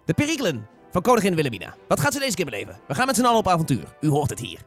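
Guy doing an old timey radio announcer, husky, super fast and high, excitable. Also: faint music behind. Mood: excited, theatrical.

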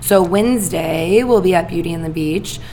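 A woman with a raspy voice co-hosting a podcast, chatting casually and filling her speech with "like" and "um". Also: fairly low pitch, moderate pace, dark tone, slight background noise, poor audio. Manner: chatty, filler-heavy with lots of "like". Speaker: podcast co-host.

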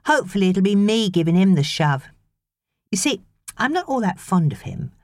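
A woman's voice: medium pitch, big pitch swings, moderate pace, a little mellow, dry recording, clean studio sound, pitched above the performer's own voice. A British woman voicing a chirpy, slangy sixteen-year-old babysitter girl in an audiobook. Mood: gleeful, scheming.

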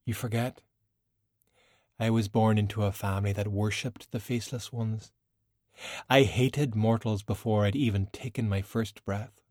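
A man voicing a worldly, stern woman sorcerer in an audiobook, measured, formal and admonishing. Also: lowish voice, steady tone, a little mellow, no room sound, studio quality, lightly acted. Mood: wistful, wry. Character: worldly, composed, cool woman sorcerer, long-lived and stern.

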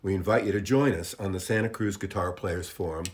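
Deep-voiced man reading a podcast intro. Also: a little fast. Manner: reads evenly from a script. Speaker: show announcer.

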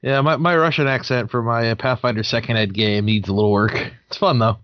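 Animated man in his thirties chatting. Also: mid-range voice, fairly quick, no echo. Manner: animated, slips into character voices. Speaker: podcast co-host and game master. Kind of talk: casual chat. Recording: dry, dull lo-fi sound.

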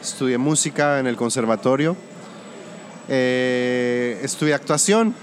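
A man from Colombia with a Colombian-Venezuelan border accent, a music producer talking through his work in a relaxed interview, pausing and restarting his sentences. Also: mid-range voice, slight background noise. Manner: thoughtful, restarts often. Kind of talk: casual interview conversation.

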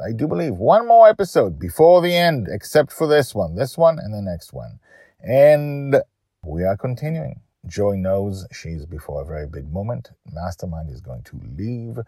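A man hosting a story podcast, narrating theatrically and emphatically, with drawn-out words. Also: low voice, darkish tone, no echo, clean studio sound. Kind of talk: dramatic scripted storytelling with host asides.

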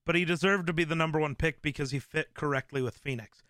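A man hosting a live stream, chatting casually in a rambling way with lots of fillers and restarts, in a relaxed voice. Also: a little high, quick pace, no room sound, studio quality. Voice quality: relaxed, smooth voice. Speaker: streamer host.

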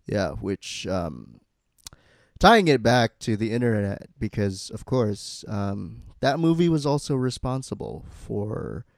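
A man hosting a podcast in casual, halting chat peppered with profanity. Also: on the low side, animated, vocal fry, no room sound, studio quality. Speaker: podcast host.

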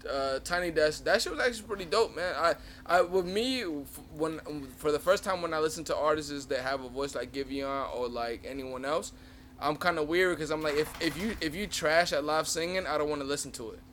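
A young man in his twenties with a slightly high voice talks loosely on a podcast, swearing freely and breaking into laughs. A faint hiss sits under the recording. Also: a little fast, a bit bright. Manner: loose, profane, laughs often, trails off. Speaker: podcast co-host. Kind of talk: casual chat.

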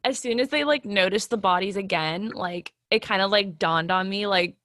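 A woman's voice: medium pitch, normal speed, fairly bright tone, dry recording. A woman talks in an informal, conversational way. Her sentences are sprinkled with "like". The sound is dry, with little room around her. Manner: peppers speech with "like". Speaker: actor in a play reading. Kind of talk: casual chat.

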